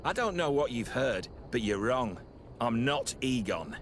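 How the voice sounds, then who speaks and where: A man's voice: medium pitch, sing-song, a little slow. A man acting as a menacing, dismissive guard lieutenant in a criminal gang for a video game. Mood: evasive. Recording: noticeable background noise.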